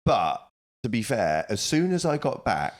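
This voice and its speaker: A young man co-hosting a podcast, talking loudly and telling stories in casual chat with plenty of swearing. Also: mid-range voice, unhurried. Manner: loud, lively storytelling with swearing. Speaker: podcast co-host.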